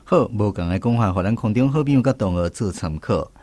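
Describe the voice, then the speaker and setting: Male radio host for a language lesson: low voice, lively intonation, Taiwanese accent. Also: a little fast.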